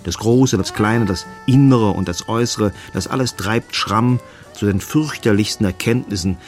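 A man's voice: on the low side, moderate pace, soft background music. A man reading literary prose for a radio feature. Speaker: literary reader.

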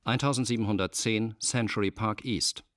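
A man's voice: on the low side, fairly even intonation, normal speed, fairly bright tone, natural voice. An actor playing a formal man making precise announcements in a radio drama.

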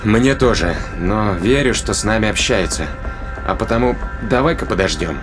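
A man's voice: on the low side, animated, moderate pace, close to an everyday voice. An actor playing an anxious but reassuring male spaceship technician in a sci-fi audio drama. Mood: tense, hopeful. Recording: music behind the voice.

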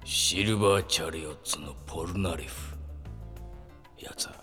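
An extremely deep, slow villain's voice. He is a cold, cruel killer from a radio play who gloats with menace, over faint music. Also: pushed and bright. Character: sinister, cruel male assassin, cold and menacing. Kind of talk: Japanese audio drama acting.

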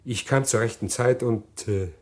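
An actor playing a middle-aged man who calmly confesses a murder plot in an old radio play, measured and hesitant. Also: medium pitch, natural voice. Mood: calm confessing. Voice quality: measured, deliberate, hesitant with pauses. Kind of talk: 1950s radio drama acting.

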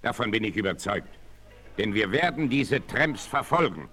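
An actor playing a resolute, reassuring frontier hero, assured and authoritative, in a 1960s radio play. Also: male voice, lowish voice, sing-song, normal speed, fairly bright tone.

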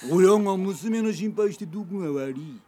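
A man playing a coarse, bullying middle-aged father in a radio drama, speaking in a rough, threatening, slangy way. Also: high voice, lively intonation, normal speed, dark tone. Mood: menacing. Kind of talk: Japanese radio drama acting.

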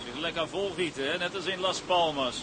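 A man's voice: on the high side, fairly quick, natural voice. Man acting a grumbling deckhand in harbour noise. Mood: resentful. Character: grumbling, sly, insolent male deckhand. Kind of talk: radio drama acting.

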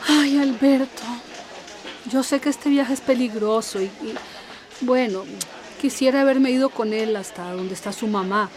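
An actress playing a weary, worried older guardian in a radio drama, plaintive and full of sighing exclamations. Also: female voice, a little high, unhurried, a crowd in the background, natural voice.

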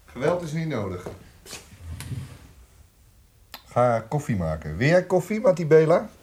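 Male police inspector for radio drama: menacing, condescending, deliberate, with a lively, swinging pitch range. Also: a little hiss or hum. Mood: controlled, insinuating. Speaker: police interrogator.

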